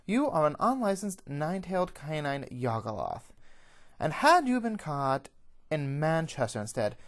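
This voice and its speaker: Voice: mid-range voice, very animated, moderate pace, darkish tone, pitched above the performer's own voice. A performer voicing a severe, formal female official of a monster-hunting order who gives commands. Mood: forceful, angry.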